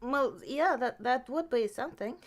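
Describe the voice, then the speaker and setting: A woman's voice: high-pitched, lively intonation, normal speed. A woman hosting a podcast in a casual live chat, nervous and giggly. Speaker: podcast host.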